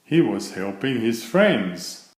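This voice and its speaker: A male narrator reads a children's story expressively. His pitch moves up and down a lot while his pace stays slow and deliberate. The recording has a noticeable room echo. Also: big pitch swings. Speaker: children's book reader. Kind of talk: storybook read-aloud narration. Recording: roomy, echoing voice recording.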